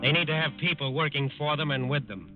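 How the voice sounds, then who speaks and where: A man's voice: on the high side, quick pace, over music, lightly acted. An actor narrating a 1940s wartime radio drama as a solemn American man, oratorical and earnest. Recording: dull lo-fi 1940s radio recording.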